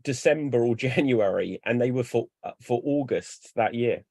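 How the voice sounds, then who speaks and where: A young man chatting on a panel, telling lively stories and acting out bits as he goes. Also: mid-range voice, moderate pace, creaky, no room sound. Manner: animated storyteller, acts out bits. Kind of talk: casual chat.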